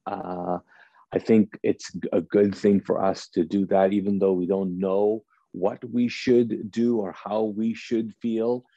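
A man in his forties, from Kuwait, gives a personal, reflective talk to his audience in a halting, thoughtful way, searching for his words. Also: fairly low pitch, dark tone, dry recording, dull audio. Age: 40s. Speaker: speaker addressing an audience.

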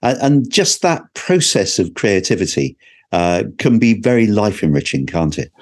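A man's voice: lowish voice, sing-song, moderate pace. A man hosting a podcast, speaking reflectively and inclusively. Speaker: podcast host.

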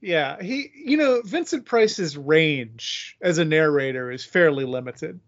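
A man's voice: a little high, lively intonation, unhurried, a bit bright, highs cut off. A man hosting a casual discussion podcast, conversational and wry as he moderates. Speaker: podcast host.

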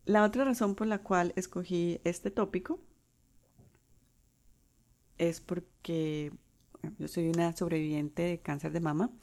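Low-voiced Colombian woman in her forties. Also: fairly even intonation, a little mellow. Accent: Colombian Spanish. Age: 40s. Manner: unscripted, hesitant, pauses and restarts. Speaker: podcast host. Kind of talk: solo podcast monologue.

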